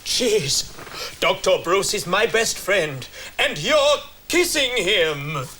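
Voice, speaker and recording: An actor playing a chummy, earnest hospital colleague in a comedy sketch. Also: male voice, high-pitched, very animated, slow, pushed and bright, slight background noise, heavily acted. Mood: indignant.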